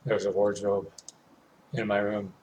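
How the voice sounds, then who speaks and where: A man with a lowish voice narrates a horror visual novel in the first person. His intonation is very flat, hushed and measured, with pauses between phrases. Faint noise sits behind the home recording.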